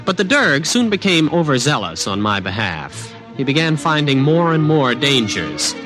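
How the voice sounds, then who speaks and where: A wry, skeptical college student. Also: male voice, on the high side, big pitch swings, moderate pace. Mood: narrating. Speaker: narrator and lead. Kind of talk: 1950s radio drama acting. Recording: studio with clear background music.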